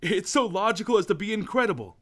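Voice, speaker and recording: A man playing a calm, determined gentleman crime-fighter in an old-time radio drama, measured and commanding. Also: sits high. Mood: urgent. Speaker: radio actor.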